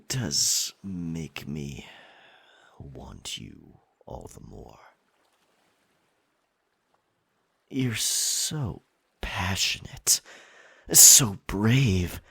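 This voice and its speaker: A man playing a possessive, roguish pirate captain in an ASMR roleplay, theatrical, teasing and dominant, with a husky, breathy voice. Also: low voice, bright, forceful tone, full character voice. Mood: smitten, seductive.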